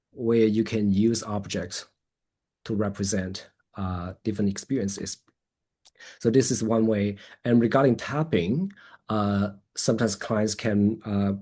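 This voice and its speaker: Male therapist presenting a webinar: husky, lowish voice, hesitant delivery. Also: warm tone. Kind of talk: presentation and question answering.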